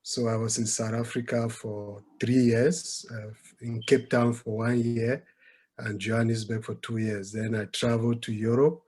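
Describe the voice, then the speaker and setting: A man from Cameroon, a guest on an online show, answering politely and haltingly with many fillers. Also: medium pitch, flat delivery, darkish tone, a little hiss or hum. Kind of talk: interview answers.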